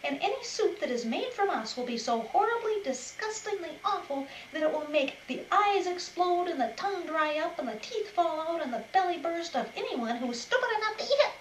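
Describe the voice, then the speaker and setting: A woman voicing a vain, showy girl rabbit in a storybook, talking in a shrill, gushing, theatrical way. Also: sing-song, normal speed, hardly any pauses, reverberant, slight background noise, low-quality recording. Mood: shouting.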